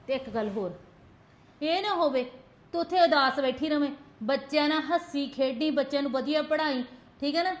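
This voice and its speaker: An actress playing a blunt, protective young sister-in-law in a village family drama, scolding and insisting. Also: female voice, high voice, moderate pace, close to an everyday voice. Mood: fierce urging. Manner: scolding, insistent, repeats demands for attention.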